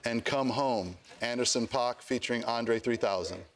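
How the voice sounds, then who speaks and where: A man reading award categories and their nominees in a measured way. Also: medium pitch. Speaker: nominations announcer.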